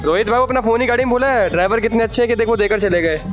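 A man's voice: very high-pitched, rapid-fire, over music. A man in his thirties casually narrating a travel vlog outdoors into a handheld camera, chatty and joking with his companions. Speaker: travel vlogger. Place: outdoors on a sunny beach and street.

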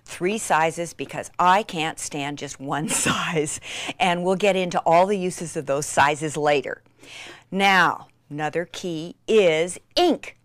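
A middle-aged woman presenting a chatty craft tutorial in an excitable, gushing manner with dramatic emphasis. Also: on the low side, slow pace, dry recording.

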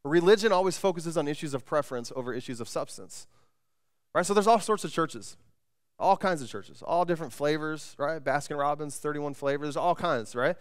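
A man's voice: fairly high pitch, animated, fairly quick. A man in his thirties preaching a sermon from a church stage, conversational, often repeating words and restarting sentences. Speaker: pastor. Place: church stage with band gear, indoors.

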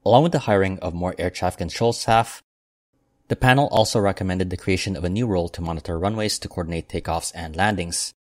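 A man hosting an aviation news channel, reading a scripted report in a steady, measured way as a voice-over. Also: sits low, dry recording, studio quality. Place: voice-over, not recorded in the pictured airport.

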